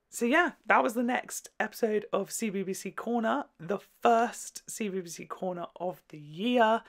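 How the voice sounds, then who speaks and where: A woman hosting a casual, gushing and sometimes sweary reaction commentary on children's television. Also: fairly low pitch. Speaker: video host reviewing children's TV.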